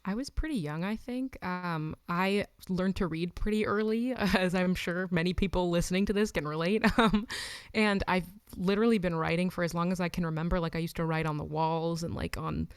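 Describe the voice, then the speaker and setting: Brief: woman in her 20s from Los Angeles, smooth lowish voice, chatty, dry close sound. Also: brisk, no room sound. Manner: chatty, frequent "like" and "um", laughs between thoughts. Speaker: musician guest. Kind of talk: casual interview chat.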